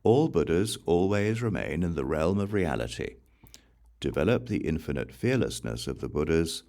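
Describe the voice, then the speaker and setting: A man reading scripture as an audiobook in a measured, solemn way, phrase by deliberate phrase. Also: very deep voice, sing-song, vocal fry, mellow. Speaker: audiobook reader.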